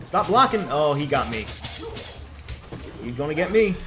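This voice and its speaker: A man's voice: fairly high pitch, sing-song, a little slow. A man reacting casually as he plays a co-op video game. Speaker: co-op gamer. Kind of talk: casual reactive gameplay chat. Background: game music.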